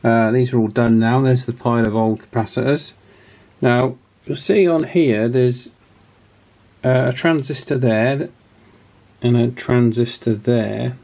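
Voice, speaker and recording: A man's voice: mid-range voice, steady tone, measured pace, darkish tone. A man hosting a casual hands-on demonstration, chatting and thinking aloud as he goes. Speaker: host of radio repair channel.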